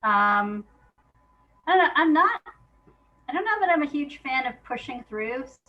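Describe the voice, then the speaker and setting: A woman talking casually and reflectively as an interview guest, in an informal, halting way, thinking aloud as she goes. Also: high-pitched, big pitch swings, dull audio.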